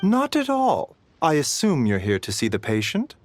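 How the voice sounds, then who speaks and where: An actor playing a calm, courteous doctor in a video game, speaking in a polite, measured, composed way. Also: male voice, a little high. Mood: calm concern. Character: calm, courteous, soft-spoken male doctor, adult. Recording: music under the voice.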